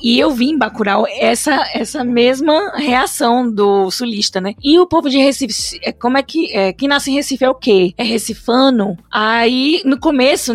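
Young brazilian woman, northeast accent with a bit of são paulo, rambles with lots of né, slightly high voice, dry close sound. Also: normal speed, no room sound. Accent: Brazilian Northeastern mixed with São Paulo. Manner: rambling, many restarts and "né" tags. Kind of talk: casual conversation.

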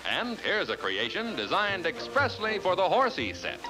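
A man's voice: sits high, very animated, normal speed, rough recording. An American man narrating a scripted 1940s newsreel in a jaunty, theatrical announcer style, on a vintage film soundtrack. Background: loud orchestral newsreel music.

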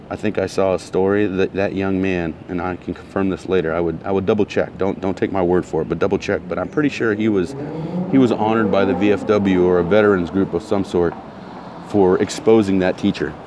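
A man's voice: low-pitched, steady tone, brisk, background noise. A man ranting in a casual monologue, blunt and heated, repeating himself, his throat still recovering from soreness. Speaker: vlogger.

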